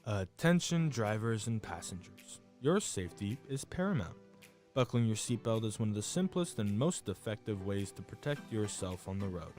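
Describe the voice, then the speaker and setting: A man's voice: on the low side, sing-song, moderate pace, a little mellow. A man reading a scripted public service announcement about safety as an announcer. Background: light music bed.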